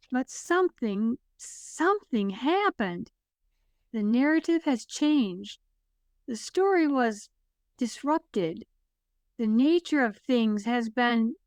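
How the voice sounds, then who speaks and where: A woman's voice: fairly high pitch, lively intonation, takes it slowly, long pauses, a little mellow, clean tone, dry recording. A woman playing a gracious, solemn, motherly queen in a fantasy audio play, speaking in a stately, formal way. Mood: grave.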